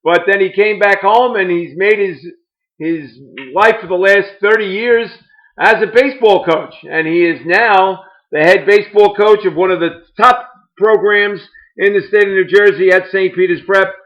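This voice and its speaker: High-voiced man hosting a podcast. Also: normal speed, a bit bright. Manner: chatty, repeats and restarts words, asks long rambling questions. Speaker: podcast host. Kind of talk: casual interview chat. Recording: dull, lo-fi.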